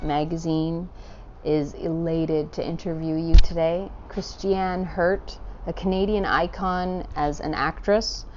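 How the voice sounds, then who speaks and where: A woman's voice: lowish voice, a little mellow, highs cut off, a little hiss or hum. A woman interviewing a guest for a magazine, effusive and full of compliments.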